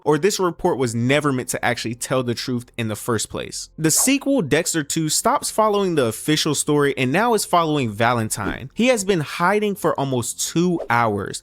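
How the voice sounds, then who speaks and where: A man's voice: medium pitch, fairly bright tone, no room sound. A man reading scripted narration that recaps and analyzes a horror story, delivered dramatically in short, emphatic sentences.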